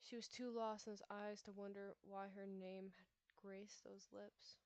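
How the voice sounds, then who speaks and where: A woman's voice: mid-range voice, level tone, unhurried, darkish tone, smooth, dry recording. A woman with an American accent reading a visual novel aloud, stumbling over names and breaking off to chat. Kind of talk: reading game text aloud with chatty asides. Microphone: laptop microphone. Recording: dull lo-fi audio with game music behind.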